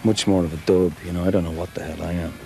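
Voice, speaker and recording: A man's voice: deep voice, steady tone, fast, gravelly, warm tone, faint music behind. A man giving a thoughtful documentary interview, musing as he talks.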